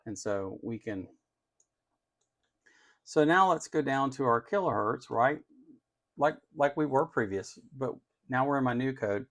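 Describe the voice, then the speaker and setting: A man's voice: medium pitch, animated, unhurried, pauses often, fairly bright tone. A man hosting an unscripted tech demonstration, explaining step by step in an opinionated way with emphasis on key words. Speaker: host of an electronics channel.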